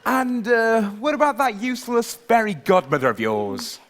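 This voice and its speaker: A man's voice: very high voice, wildly swooping pitch, moderate pace, fairly bright tone, heavily acted. A man playing a pompous, sarcastic fairy-tale royal, blustering through a live stage pantomime. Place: theatre stage, live show. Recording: clearly noisy live stage recording.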